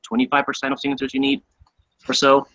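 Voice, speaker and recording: A man's voice: medium pitch, monotone, quick pace, bright, forceful tone, slight background noise. A man in his twenties from Venezuela, a guest on an interview podcast, explaining at length with frequent fillers and repeated words. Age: 20s. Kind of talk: casual interview.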